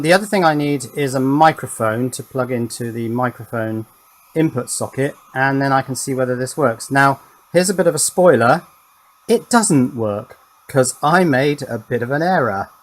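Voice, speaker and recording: Wry man giving a hands-on electronics demonstration. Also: mid-range voice. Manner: wry, dry, understated humour. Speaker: electronics hobbyist presenter. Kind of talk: casual hands-on demonstration commentary.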